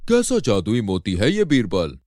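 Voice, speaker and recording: Man voicing proud, animated Emperor Akbar. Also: lowish voice, a little slow, no room sound, clean studio sound. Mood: puzzled, questioning. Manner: imperious, questioning. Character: Emperor Akbar, proud, easily offended male Mughal ruler. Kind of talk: animated Hindi storybook acting.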